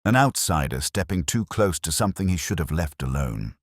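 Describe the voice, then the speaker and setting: Male narrator for detective fiction: extremely low voice, lively intonation, brooding and measured, close dry sound. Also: dry recording. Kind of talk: first-person scripted mystery narration.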